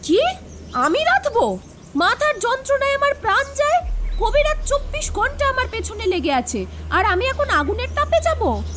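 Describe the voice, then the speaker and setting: An actress playing a domineering, sharp-tongued elder sister-in-law in an audio drama, scolding and quarrelsome. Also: female voice, extremely high pitch, sing-song, normal speed, noisy background. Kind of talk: Bengali audio drama acting.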